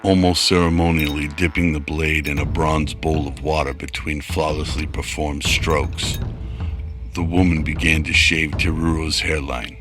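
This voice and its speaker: Very deep, bright-edged male narrator. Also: level tone, unhurried, soft background music. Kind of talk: scripted radio drama narration.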